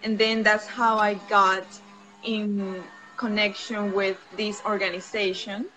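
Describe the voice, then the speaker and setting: A woman's voice: mid-range voice, level tone, takes it slowly, pushed and bright, poor audio. A young woman from Venezuela, telling a personal story on a video call in careful English with restarts. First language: Spanish. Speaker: guest. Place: home room. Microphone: laptop mic on a video call. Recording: video call with faint outdoor noise.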